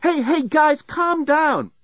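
A level-headed young woman from a comic storybook, as a male narrator plays her. She is firm, sharp-tongued and reasoning, in a raised, lively pitch. The sound is dry. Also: slow pace, dry recording, highs cut off, full character voice. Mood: calming.

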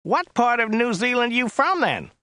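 A man voicing a large, dim, chatty limo driver in an audiobook, with a high, whiny, nasal voice and an obtuse, rambling manner. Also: very animated, moderate pace, pushed and bright, dry recording, full character voice. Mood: obtuse, curious.

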